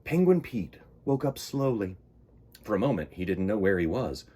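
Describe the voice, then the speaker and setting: A man's voice: fairly low pitch, sing-song, moderate pace, dark tone, slight background noise. A man reading a picture book to children as a storytime host, with theatrical, dramatic emphasis.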